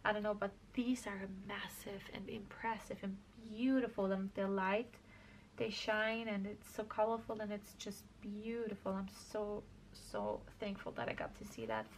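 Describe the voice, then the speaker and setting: Young female crafter from Austria, chatty and meandering, gravelly fry, faint background noise. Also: medium pitch, normal speed, rough recording. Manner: rambling, self-correcting, chatty. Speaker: craft vlogger. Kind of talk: casual scrapbook walkthrough.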